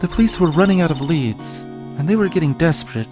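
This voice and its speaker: A man voicing a soft-spoken, sorrowful young woman who is a spirit medium in a video game, speaking haltingly and earnestly. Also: fairly high pitch, animated, close to an everyday voice. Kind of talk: voiced video game dialogue. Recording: music behind the voice.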